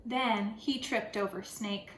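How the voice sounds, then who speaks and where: A woman, a first grade teacher, reads and talks to young children in an expressive, deliberate storytelling way. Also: medium pitch. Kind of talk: picture-book read-aloud for children. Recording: roomy echo, clear background noise.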